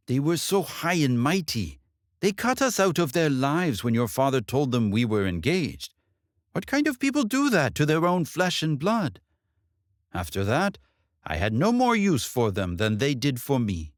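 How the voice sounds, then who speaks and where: A man's voice: on the high side, big pitch swings, unhurried, no room sound, studio quality, pitched above the performer's own voice. A man voicing an older mother in a story, blunt and proud, with emphatic rhetorical questions. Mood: bitter. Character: older mother, wise, proud, sharp-tongued, bitter about in-laws.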